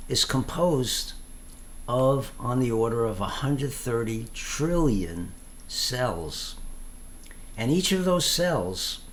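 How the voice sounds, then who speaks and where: Man, very slow halting delivery, reflective informal explanation, creaky voice, some background noise. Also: on the low side, fairly bright tone. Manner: halting, reflective, pauses mid-phrase. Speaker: tai chi teacher.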